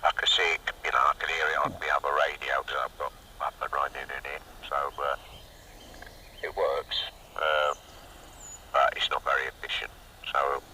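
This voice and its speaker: A man's voice: very deep voice, bright, forceful tone. A man chatting casually as a radio operator, heard over a two-way radio. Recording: slightly noisy CB radio reception.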